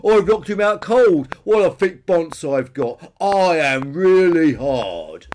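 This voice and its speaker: Man voicing a loud, cocky bonehead dinosaur bully. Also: on the high side, animated, moderate pace, slight background noise, voice pushed up, natural voice. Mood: boastful. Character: brash bullying bonehead dinosaur, thuggish, boastful and thick-skulled. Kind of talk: children's storytelling character voice.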